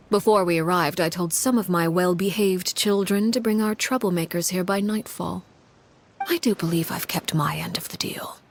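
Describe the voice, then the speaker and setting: A woman's voice: on the low side, slight background noise. An actress playing a stern, menacing woman who rules a household, speaking formally and with measure in a video game. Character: coldly stern, commanding woman, head of a household.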